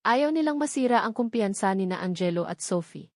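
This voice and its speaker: A woman's voice: medium pitch, lively intonation, fairly quick, no room sound, clean studio sound. A woman with a Filipino accent reading a scripted story as the narrator of an audio drama.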